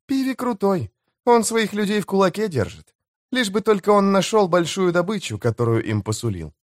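Man voicing a wary, higher-pitched young woman. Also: big pitch swings, moderate pace, no room sound, clean studio sound. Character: wary young female companion of a pirate captive.

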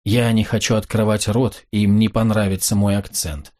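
A man's voice: on the low side, little pitch movement, normal speed, darkish tone, lightly acted. A man reading the lines of a shy, hesitant woman crime writer with an Alabama accent.

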